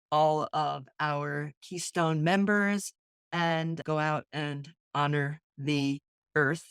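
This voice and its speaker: A woman with a very low voice speaks in an earnest, reflective way. She works through her thoughts slowly in a casual conversation. The recording is dry and close. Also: clean tone, dry recording. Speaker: podcast co-host.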